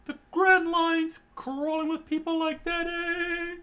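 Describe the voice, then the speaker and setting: A man voicing a cocky, sharp-tongued young ship's cook in a brash, taunting falsetto for an adventure story. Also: monotone, slow pace, full character voice. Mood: amazed.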